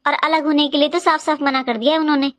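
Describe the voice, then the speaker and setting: A woman's voice: high-pitched, flat delivery, fast, a bit bright. A woman voicing a worried, complaining elderly Indian mother in a cartoon family skit, plaintive and explanatory.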